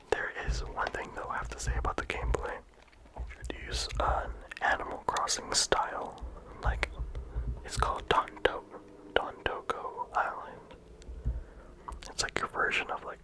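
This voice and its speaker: A game reviewer talking casually in a soft ASMR whisper, with frequent "like" and "um" fillers. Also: poor audio. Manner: soft unvoiced whisper throughout.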